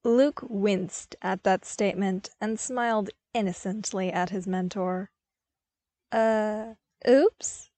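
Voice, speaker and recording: A woman reading scripted fiction as an audiobook narrator, with expressive storytelling delivery. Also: medium pitch, unhurried, hardly any pauses, dry recording.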